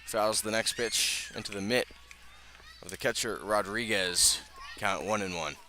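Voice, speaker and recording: A man's voice: on the low side, unhurried, pushed and bright, slight background noise. A man doing live radio play-by-play of a baseball game as the announcer. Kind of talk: live radio sports commentary. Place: outdoor ballpark broadcast position.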